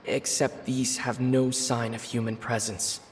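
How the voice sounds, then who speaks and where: A man's voice: mid-range voice, little pitch movement, a little slow, lightly acted. Man as reverberant, pensive young adventurer. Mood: pensive, certain. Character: young male adventurer, earnest, pensive, piecing things together. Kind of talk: video game cutscene monologue. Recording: long reverb, clear background noise.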